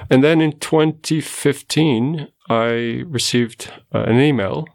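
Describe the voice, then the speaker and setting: A middle-aged man from Portugal with a Portuguese accent, giving measured, explanatory answers as a guest scientist in an interview. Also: medium pitch, creaky. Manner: measured, explanatory, self-correcting.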